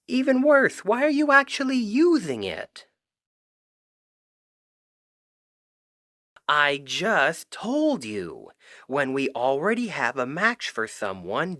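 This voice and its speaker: A man's voice: mid-range voice, fairly bright tone, no echo, studio quality. A man playing an exasperated, secretly smitten young Cupid best friend in a conversational, emphatic ASMR roleplay. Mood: incredulous, annoyed, then agitated, insistent. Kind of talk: ASMR roleplay audio drama, one-sided conversation.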